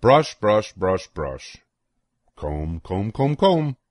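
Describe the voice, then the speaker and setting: A man's voice: on the low side, very animated, drawn-out words, no room sound. An older man reading a children's rhyming picture book aloud in a playful, sing-song way with swooping emphasis. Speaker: storybook reader.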